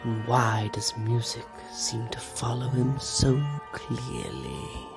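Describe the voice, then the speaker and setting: A man telling a monster scene as game master in a very slow, theatrical voice. He sinks into whispers now and then, and faint music plays behind him. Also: mid-range voice, very animated, vocal fry. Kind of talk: dramatic scripted narration for a tabletop game. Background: background music.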